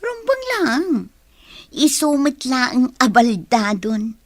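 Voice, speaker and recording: A conniving, greedy accomplice in a radio drama. Her voice is fairly high and scornful, with an Ilocano Filipino accent and a dry, close sound. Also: big pitch swings, takes it slowly, dry recording, exaggerated voice.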